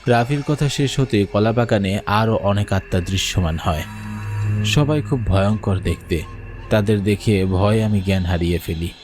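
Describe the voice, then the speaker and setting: A man's voice: lowish voice, normal speed, darkish tone, soft background music, lightly acted. A man playing a frightened young university student, narrating a horror cartoon in a suspenseful, dramatic first-person style. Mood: fearful, suspenseful. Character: frightened young male university student telling his ghost story.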